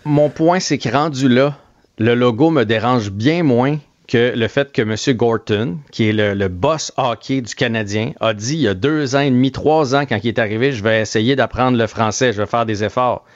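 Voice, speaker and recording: A man with a Québécois accent, a radio host calmly laying out his counterpoint with halting restarts. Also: mid-range voice, no room sound, highs cut off. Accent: Québécois French. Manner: measured, reasoning, halting restarts. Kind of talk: opinionated radio debate. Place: radio studio. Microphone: studio microphone.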